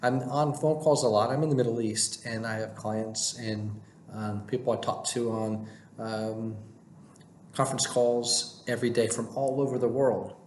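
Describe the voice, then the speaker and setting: A man's voice: mid-range voice, steady tone, moderate pace. Echoey-room man giving casual vlog advice. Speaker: vlogger giving work advice. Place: indoor room with plain wall, working from home. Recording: echoey room with faint background noise.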